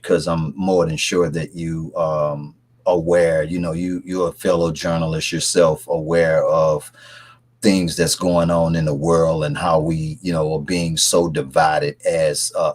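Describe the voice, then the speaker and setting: Very deep, husky male radio host. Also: level tone. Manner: halting, repeats words, uses fillers. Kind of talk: interview. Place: radio studio.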